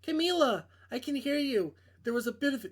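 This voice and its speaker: A man voicing an anxious, self-conscious young woman in a visual novel, hesitant and halting. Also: very high-pitched, animated, voice pushed up. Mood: relieved. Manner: hesitant, halting, brushing things off.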